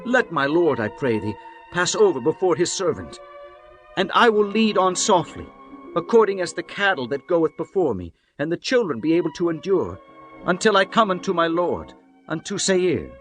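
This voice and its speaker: A man voicing Jacob, an anxious older patriarch who pleads humbly, over soft music. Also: on the high side, big pitch swings, normal speed, voice pushed up. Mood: pleading.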